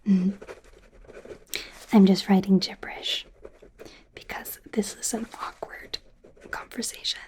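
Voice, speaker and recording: A woman playing a friendly, awkward young werewolf doctor in an ASMR roleplay, speaking in a soft, breathy whisper with hesitant, casual rambling. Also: mid-range voice, steady tone, slow, pauses often, close to an everyday voice. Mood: sympathetic, awkward.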